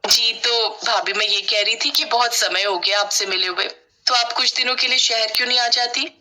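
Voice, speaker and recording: A woman's voice: on the high side, steady tone, moderate pace, pushed and bright. A woman voicing a selfish, snobbish young pregnant sister-in-law from the city in a wheedling, self-serving manner for a story. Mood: sugary, wheedling.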